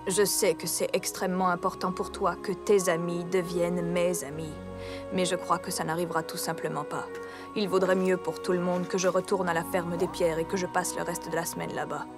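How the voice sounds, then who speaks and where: A woman plays an unflappable, serious big-sister pony from an animated series. The voice sits fairly low and barely moves in pitch, formal and deadpan throughout, with music behind. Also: close to an everyday voice. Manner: deadpan monotone, formal, emotionless. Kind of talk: French animated series dub.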